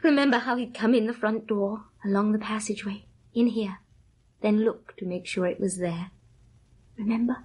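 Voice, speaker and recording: An actress playing a fragile, wistful young wife in a radio drama, speaking in a hushed, slow, dreamy and insistent way with a breathy voice. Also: female voice, mid-range voice, natural voice. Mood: entranced, yearning. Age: young adult. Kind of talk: old radio drama acting.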